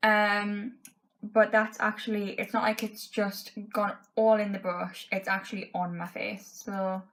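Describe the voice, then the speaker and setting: A woman's voice: mid-range voice, flat delivery, unhurried, fairly bright tone, poor audio. A woman in her twenties talks straight to a camera in her bedroom, testing makeup as she goes. Her voice is worn and fading, and she speaks frankly, with plenty of fillers. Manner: chatty, frank, many fillers like "um" and "like". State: losing her voice. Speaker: beauty vlogger. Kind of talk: casual makeup tutorial chat. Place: bedroom, indoors.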